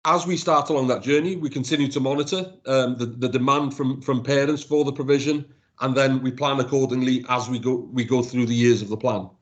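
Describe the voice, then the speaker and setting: Halting man presenting. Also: mid-range voice, steady tone, highs cut off. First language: Welsh. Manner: halting, many repeated words and restarts. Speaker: council education officer. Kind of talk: presenting slides to a council panel. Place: council meeting room.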